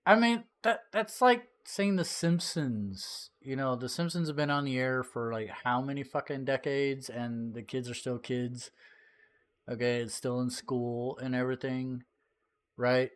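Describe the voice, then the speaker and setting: A man's voice: medium pitch, unhurried. A man giving casual, blunt and rambling commentary as a reaction streamer.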